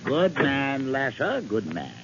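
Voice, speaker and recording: An actor playing a menacing, eccentric villainous doctor in 1940s radio style, mock-polite and theatrical. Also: male voice, mid-range voice, sing-song, takes it slowly. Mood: gloating, courteous. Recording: noisy, muffled 1940s radio recording.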